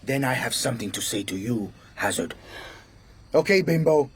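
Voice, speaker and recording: An actor playing a menacing, curt and crude gangster assassin in a radio drama. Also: male voice, mid-range voice, expressive, normal speed, slight background noise, lightly acted. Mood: brusque, contemptuous.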